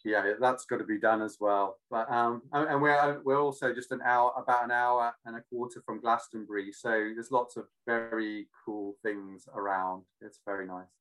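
A man from Cardiff with a British but not Welsh accent, talking casually and reflectively, often pausing to search for words. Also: mid-range voice, steady tone, fairly bright tone, rough recording. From Cardiff, Wales. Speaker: interview guest.

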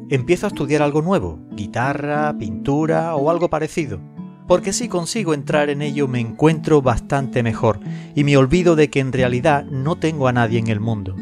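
A man's voice: medium pitch, lightly acted. A man playing a lonely, lovelorn and self-deprecating narrator in a scripted audio fiction.

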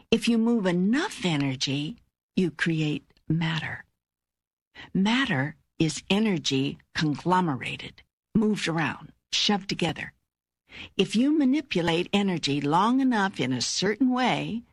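An older woman voicing God as a calm, wise, authoritative teacher in an audiobook, with measured, emphatic phrasing and a husky voice. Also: mid-range voice, dry recording, studio quality, exaggerated voice. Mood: calm, instructive. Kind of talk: audiobook dialogue reading.

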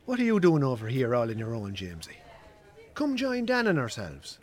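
Irish rich fella, mid-pitched, hearty but condescending, bossing everyone about in a radio play. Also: male voice, huge pitch swings, moderate pace, a little mellow. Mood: jovial, goading. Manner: jovial, pushy, patronising. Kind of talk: naturalistic radio drama acting.

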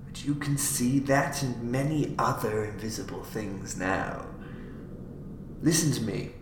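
A man's voice: medium pitch, unhurried, lightly acted. A man voicing an obsessed, gloating mad scientist in an audiobook, lecturing with intense emphasis. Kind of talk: audiobook character acting. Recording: roomy, clearly noisy home recording.

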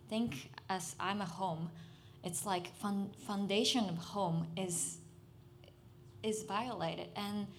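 A young Japanese woman speaks English carefully and earnestly. She pauses often to find her words, and her delivery stays soft and halting. Faint noise sits under her voice. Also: mid-range voice. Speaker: speaker at a literary festival. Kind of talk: personal testimony on stage.

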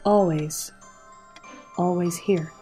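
An actress playing a grieving, fragile mother in an audio play, with halting, emotional delivery. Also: lowish voice, fairly even intonation, warm tone, soft background music. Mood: wistful, defensive. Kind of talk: dramatic audio play acting.

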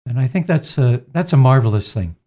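A man in his sixties, a priest, answering interview questions in a gentle, unhurried way with frequent pauses and fillers. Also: medium pitch, animated. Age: 60s.

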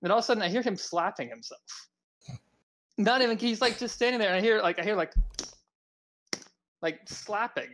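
A young man telling a story to friends on a podcast, rambling and animated, with lots of "like" and "um". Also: sits high, a little fast, long pauses, a little hiss or hum. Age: young adult. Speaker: podcast co-host. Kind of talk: casual storytelling among friends.

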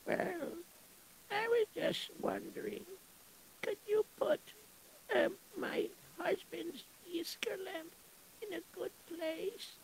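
A woman playing a grieving shoemaker's widow who moans and hesitates tearfully, with a quavering, creaky voice. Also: very high voice, expressive, slow, pauses often, a little hiss or hum, heavily acted, low-quality recording. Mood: mournful.

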